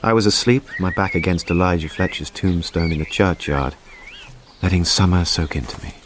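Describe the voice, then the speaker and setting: A man's voice: low-pitched, normal speed, a little hiss or hum, natural voice. A man plays a war veteran turned restorer in a radio drama. He is wry and polite, with a weary, reflective way of speaking.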